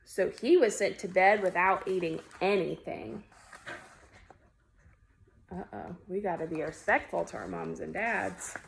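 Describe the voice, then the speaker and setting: A woman reading a children's picture book aloud in an expressive way, talking to young listeners. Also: on the low side, normal speed, creaky, few pauses, a little hiss or hum. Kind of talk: children's story read-aloud.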